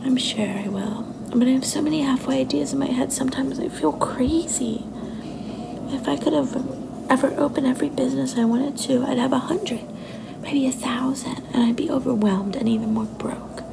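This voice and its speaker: Woman acting young girlfriend, affectionate, a bit possessive, soft whispery ASMR delivery, gravelly creak, noisy ambient recording. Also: high voice, normal speed. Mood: soft, musing. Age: young adult. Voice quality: soft, often breathy and whispery.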